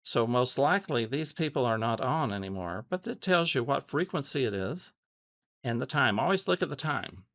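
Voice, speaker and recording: A man giving a casual, unscripted tutorial, thinking aloud haltingly and repeating phrases as he goes. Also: medium pitch, expressive, fairly bright tone, no room sound, clean studio sound. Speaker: amateur radio tutorial host. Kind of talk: casual unscripted screen walkthrough.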